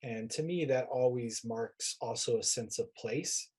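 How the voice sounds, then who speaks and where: An American man, a university teacher, reflecting informally on a panel with halting phrases that trail off. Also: mid-range voice, a little mellow, husky.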